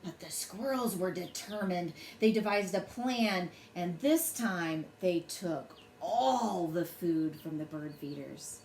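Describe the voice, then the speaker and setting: Animated, slow-paced woman reading a bedtime story. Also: lowish voice. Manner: deliberate, dramatic phrasing for children. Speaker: children's storyteller, host. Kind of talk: expressive bedtime story read-aloud. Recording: roomy echo, faint background noise.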